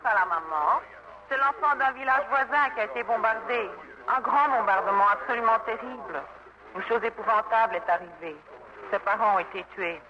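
An actress playing a gentle, hospitable young French woman with halting, polite English and a French accent, in 1950s radio drama. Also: a little high, natural voice. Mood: sorrowful. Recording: noisy, dull 1950s radio recording.

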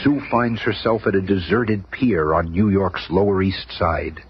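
A man's voice: low voice, fairly even intonation, a little slow. A man narrating a superhero radio serial in a grandiose, theatrical storytelling style. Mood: suspenseful. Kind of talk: dramatic scripted radio narration. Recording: noisy, muffled old radio recording.

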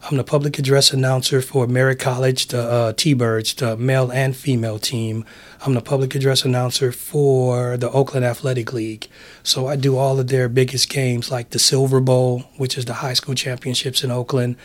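A middle-aged man speaks in a raspy, mid-pitched voice. He talks like a relaxed interview guest, casual and full of easy slang, with a chill manner. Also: normal speed. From San Francisco, USA. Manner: laid-back, chill, slangy. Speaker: interview guest, public address announcer.

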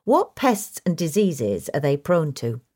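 A woman reading a scripted question-and-answer guide in a measured, instructional manner. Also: deep voice, very animated, mellow. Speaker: reader of a magazine article.

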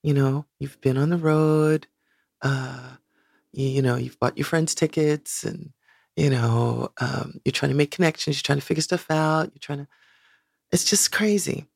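A woman's voice: sits low, a little slow, gravelly, slightly rough, no echo, clean studio sound. A woman in her sixties, a guest in an interview, talking reflectively and searching for words with many "you know"s. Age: 60s. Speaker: guest, singer.

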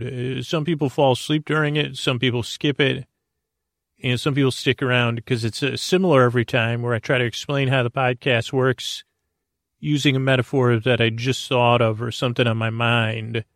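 A man hosting a bedtime podcast, rambling in a halting, meandering way with a creaky, mumbly voice and self-deprecating asides. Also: medium pitch, steady tone, no room sound, studio quality. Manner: meandering, halting, stumbling over words. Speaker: podcast host and storyteller. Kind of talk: rambling bedtime monologue to help listeners sleep.

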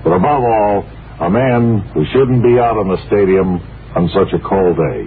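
An actor playing an earnest radio sportscaster and game host in a 1950s radio comedy, in a measured, deadpan announcer delivery. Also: male voice, on the low side, lightly acted. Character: smooth, overly earnest male sportscaster. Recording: tinny, faintly hissy 1950s radio broadcast.

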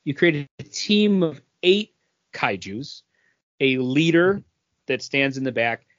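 Slow-talking easygoing podcast man. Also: medium pitch, expressive, no echo. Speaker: podcast co-host. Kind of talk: casual chat. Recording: dry, dull lo-fi podcast audio.

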